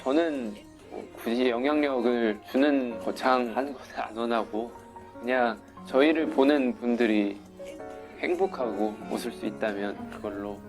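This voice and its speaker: A man's voice: medium pitch, racing. A man speaking modestly in an interview clip. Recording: archive clip with background music.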